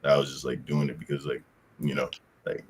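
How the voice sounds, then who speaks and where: A man's voice: extremely low pitch, flat delivery, brisk, a little mellow, slight background noise. A young man from Pennsylvania, a football player answering interview questions in a loose, rambling way with slang and lots of fillers. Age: young adult.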